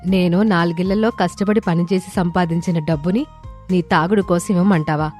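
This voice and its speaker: An aggrieved village wife played by a woman in a storybook tale, firm and complaining. Her voice is dropped lower, with music behind it. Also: steady tone, normal speed, a little mellow, lightly acted. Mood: indignant. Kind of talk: character voice in a moral story.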